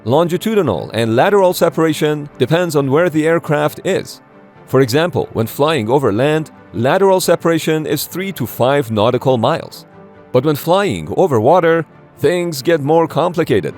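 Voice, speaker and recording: A man narrating an explainer in a scripted documentary style, with a measured, explanatory delivery. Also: a little high, darkish tone. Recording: background music under voice. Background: music.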